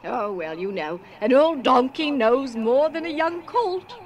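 A woman's voice: high voice, animated, measured pace. A woman playing a kindly, wise, ancient female spirit in an audio drama. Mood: kindly, wry.

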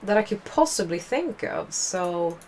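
A woman's voice: fairly low pitch, very animated, measured pace, a little mellow, slight background noise, rough recording. A young woman with a Swedish accent, casually commenting while playing a game, chatty and rambling with wry asides. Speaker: let's play host.

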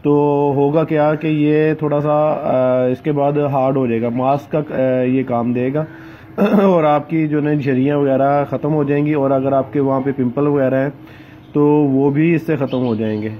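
A man hosting a gardening vlog, talking casually outdoors among potted plants and pausing often with filler sounds, recorded on a handheld phone. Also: mid-range voice, steady tone, muffled recording. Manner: hesitant, many filler sounds and pauses. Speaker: gardening channel host.

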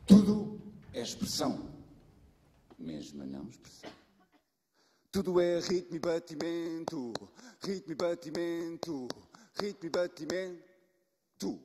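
Male slam poet, husky, dramatic, dynamic swings in volume, long hall reverb. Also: a little high, big pitch swings, unhurried, long pauses. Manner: dramatic, with repeated words and sudden shifts from loud to hushed. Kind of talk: slam poetry performance. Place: live stage in a reverberant hall. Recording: reverberant hall, live stage.

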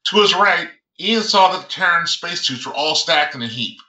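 Bright, forceful man reading science fiction aloud. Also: a little high, expressive, normal speed. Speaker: author reading own book. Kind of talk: audiobook-style narration of a science fiction novel. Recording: roomy, echoing sound.

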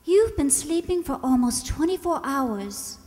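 Lady doing worried soothing big sister in old radio drama, high lilting voice, hall echo. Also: animated, unhurried, a little mellow. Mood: soothing, concerned. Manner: coaxing, placating. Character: Claudia, soothing, concerned older sister. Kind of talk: old-time radio mystery acting. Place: stage in a community hall, stand microphone. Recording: echoey hall from stage.

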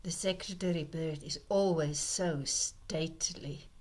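Slow, soft-spoken woman narrating. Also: low voice, fairly even intonation. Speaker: narrator of a birding slideshow. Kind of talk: scripted voice-over narration. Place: voice-over recorded apart from the pictured outdoor scenes.

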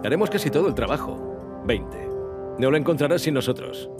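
A man's voice: a little high, lively intonation, normal speed, lightly acted. A man voicing a brash, impatient young treasure hunter in a video game, bossy and curt, with a Castilian Spanish accent. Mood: cool bargaining. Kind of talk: dramatic video game voice acting, Spanish dub. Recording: loud music behind.

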